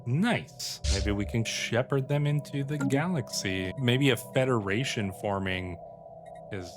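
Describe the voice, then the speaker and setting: A man's voice: mid-range voice, animated, unhurried. A man hosting a gaming video with casual, running commentary on his play. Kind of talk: casual gameplay commentary with read-aloud in-game text. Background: music.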